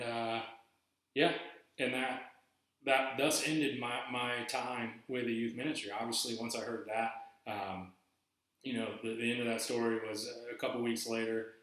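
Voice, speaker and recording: A man's voice: medium pitch, a bit bright. A man hosting a podcast, telling a personal story in a loose, rambling way with lots of restarts and fillers. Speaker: podcast host. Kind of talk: casual storytelling monologue. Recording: very echoey room.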